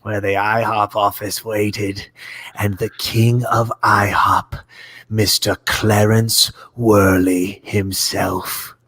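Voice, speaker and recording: A man's voice: fairly low pitch, level tone, takes it slowly, a bit bright, no echo. A man narrating an improvised comedy story in mock-epic style.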